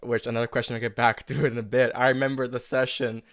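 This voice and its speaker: A man hosting a casual interview in a chatty, somewhat halting manner. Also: medium pitch, level tone, fairly bright tone, dry recording, clean studio sound. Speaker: host and creator of an audio drama.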